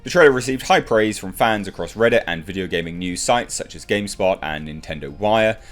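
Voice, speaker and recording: A man's voice: sits low, lively intonation, fairly quick, faint music behind. A man giving casual, enthusiastic movie news commentary as an online reviewer, leaning on emphatic repetition. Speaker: YouTube film news reviewer.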